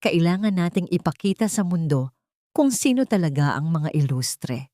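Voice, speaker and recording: A woman's voice: fairly low pitch, lively intonation, moderate pace, mellow, no echo, studio quality, lightly acted. A woman voicing a sickly, bitter and determined man in a romantic story drama. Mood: resolute.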